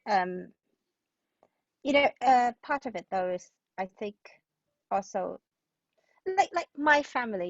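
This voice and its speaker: Woman, dull dry sound, thoughtful and halting. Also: mid-range voice, no room sound. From Hong Kong. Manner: searching, halting phrasing with repeated words. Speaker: guest, playwright and historian. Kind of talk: thoughtful podcast interview conversation.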